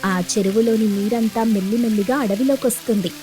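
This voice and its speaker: A woman with a high voice narrates a children's story as a storyteller. The delivery is scripted narration, with music playing behind her. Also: brisk, warm tone, rough recording.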